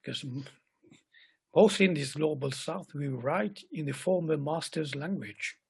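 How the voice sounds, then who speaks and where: Gravelly husky guy from mauritius, talks slow and expansive, leans on every word, video call. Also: a little high. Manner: expansive, lists words slowly with emphasis. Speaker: poet guest. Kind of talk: reflective conversation.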